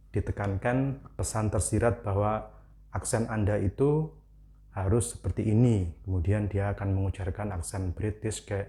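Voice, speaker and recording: Relaxed low-voiced Javanese-accented man. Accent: Javanese, Malang. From Malang, East Java, Indonesia. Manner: relaxed, chatty, frequent "ya" fillers, light laughs. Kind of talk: casual spoken commentary.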